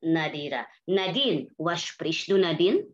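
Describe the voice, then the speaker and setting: Lively woman teaching over a video call. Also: low-pitched, highs cut off, a crowd in the background. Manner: slow, drawn-out model sentences for students. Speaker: online German teacher. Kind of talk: casual online class, mixing Indonesian and German.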